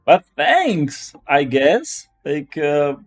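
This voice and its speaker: A man with a Czech accent talks casually on a live stream at an unhurried pace, with faint music behind him. He speaks haltingly, doubling back on words and phrases as he goes. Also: on the high side, very animated. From Czech Republic. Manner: halting, repeats and restarts phrases. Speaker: live-stream host, web developer.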